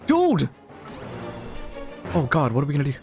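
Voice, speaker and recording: A man casually commenting while playing a game, gasping and jumping high in pitch when surprised. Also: huge pitch swings, normal speed. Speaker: streamer. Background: game music.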